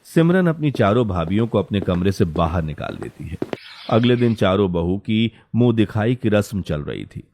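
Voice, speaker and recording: A man's voice: fairly low pitch, very animated, normal speed, darkish tone, slight background noise. A man narrating a scripted story as its storyteller.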